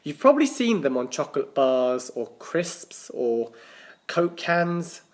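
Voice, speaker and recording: A man reading a children's story aloud as a teacher, with animated, dramatic emphasis and the odd stumble over words. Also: fairly high pitch, slow. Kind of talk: expressive children's storybook narration.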